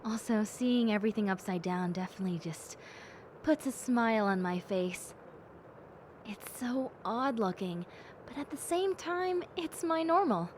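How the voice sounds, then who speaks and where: A woman voicing a gentle, cheerful young bat girl in a soft, playful and sincere audio roleplay. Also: a little high, expressive, measured pace, slight background noise. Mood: playful, content. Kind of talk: audio roleplay addressed to the listener.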